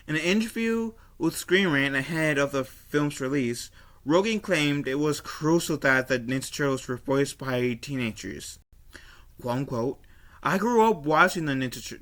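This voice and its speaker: A man giving casual opinion commentary as a video host, halting and often repeating and rephrasing himself. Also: fairly high pitch, sharp tone. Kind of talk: casual opinion commentary, reading an article aloud.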